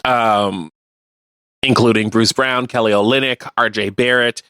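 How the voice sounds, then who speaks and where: A man co-hosting a radio show, chatting casually about sports and explaining things in a halting way. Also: mid-range voice, fairly even intonation, sharp tone, smooth, no room sound. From Canada. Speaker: radio co-host.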